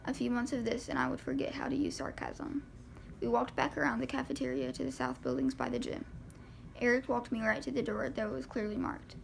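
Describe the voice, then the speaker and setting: Woman reading a novel, very creaky voice. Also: on the high side, animated, fairly quick, slight background noise, rough recording. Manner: informal reading that stumbles over words. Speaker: amateur audiobook reader. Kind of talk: reading a first-person novel aloud.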